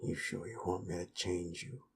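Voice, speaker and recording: Gravelly, low-voiced gruff werewolf played by a man. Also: steady tone, slight background noise. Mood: hushed, serious. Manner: blunt, coarse, halting, coaxing. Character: gruff, cocky male werewolf turning protective of partner. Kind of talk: intimate audio roleplay acting.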